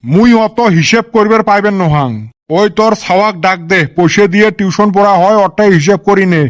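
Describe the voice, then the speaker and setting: A man's voice: sits high, fairly quick, dry recording, studio quality, lightly acted. A man voicing a grumbling village husband in a comedy cartoon, exasperated, with a western Assam accent. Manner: exasperated, complaining.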